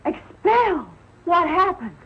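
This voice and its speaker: A woman playing a sharp, suspicious housewife and mother in a 1940s television comedy, pointed and sarcastic in manner. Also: extremely high pitch, very animated, takes it slowly, exaggerated voice. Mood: scolding. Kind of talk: 1940s television sitcom acting. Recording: muffled, noisy old 1940s TV soundtrack.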